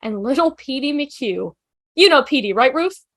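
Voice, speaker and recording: A woman's voice: high-pitched, animated, moderate pace, fairly bright tone, exaggerated voice. A woman playing an outspoken, nervy young student who rambles emphatically and theatrically in a drama table read. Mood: impassioned.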